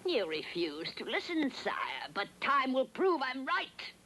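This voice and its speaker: Casting: scheming fairy-tale villainess, rough-edged voice, animated delivery, theatrical and sly. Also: female voice, sits high, slow, exaggerated voice. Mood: sly accusing. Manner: insinuating, theatrical. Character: scheming, spiteful female sorceress at court. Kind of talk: dubbed cartoon villain acting.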